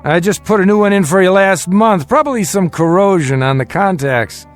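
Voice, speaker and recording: Tense, animated father, radio drama. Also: sits high, moderate pace, soft background music. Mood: forced cheer. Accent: American. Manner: commanding, guarded, urgent. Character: tense secretive family father plotting an escape.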